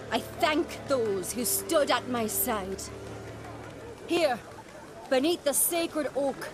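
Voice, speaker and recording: An earnest young fantasy game queen addressing her people. Her voice is high and she declaims slowly and resolutely, with music behind her. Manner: measured, declamatory. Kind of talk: ceremonial speech, video game acting.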